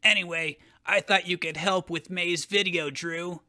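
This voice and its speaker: A vengeful boy hero played by a man, pitched high with a very bright, cutting edge. He declaims with bitter indignation, as in an overdramatic fan fiction reading. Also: steady tone, unhurried, full character voice. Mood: scheming. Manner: dramatic, declaiming.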